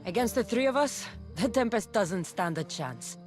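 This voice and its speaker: An actress as an expressive, tough Greek mercenary woman. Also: mid-range voice, moderate pace, soft background music, natural voice. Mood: confident, resolute. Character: confident, tough female mercenary of ancient Greece. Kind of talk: dramatic video game acting.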